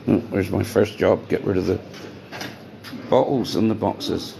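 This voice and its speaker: A man chatting casually as he vlogs his day as a bar worker, rambling and greeting people as he goes, his voice going hoarse. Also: low-pitched, fairly even intonation, unhurried, mellow, rough recording. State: voice getting hoarse. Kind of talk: casual walk-and-talk vlog. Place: outdoors on streets by a seafront. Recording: street noise behind the voice.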